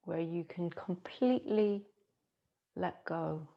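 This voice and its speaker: A woman with a Scottish accent, a yoga teacher softly and slowly guiding a yoga nidra relaxation with long pauses. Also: lowish voice, creaky, highs cut off. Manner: soft, slow and soothing, with long pauses. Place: her home in the city. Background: singing bowls and chimes.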